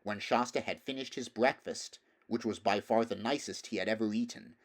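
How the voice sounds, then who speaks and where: A man with a fairly low voice narrates a storybook. He reads scripted prose as an audiobook reader would. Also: normal speed.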